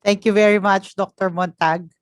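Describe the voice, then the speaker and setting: A woman moderating an academic roundtable, speaking in a measured, formal and polite way. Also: mid-range voice, steady tone, dry recording, studio quality. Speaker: panel moderator.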